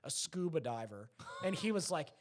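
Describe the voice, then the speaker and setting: A man's voice: fairly high pitch, sing-song, moderate pace, a little hiss or hum. A man chatting casually, retelling anecdotes in an enthusiastic, rambling way.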